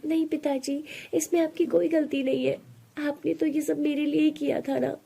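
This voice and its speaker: Wronged young daughter, played by a female narrator: high voice, soft-toned, pleading, dutiful. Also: flat delivery, normal speed, vocal fry. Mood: sad, forgiving. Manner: pleading, plaintive.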